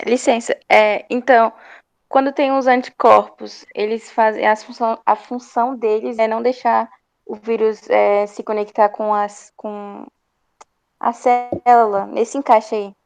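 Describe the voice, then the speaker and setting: Hesitant student with a gravelly voice. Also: female voice, a little high, level tone, measured pace. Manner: hesitant question to the teacher.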